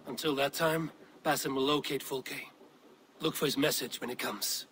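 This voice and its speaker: A man playing a stern, commanding Viking clan leader in a video game, speaking in a firm, measured, authoritative way. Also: mid-range voice, steady tone, fairly bright tone, slight background noise, close to an everyday voice. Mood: grave, resolute. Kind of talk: dramatic video game acting.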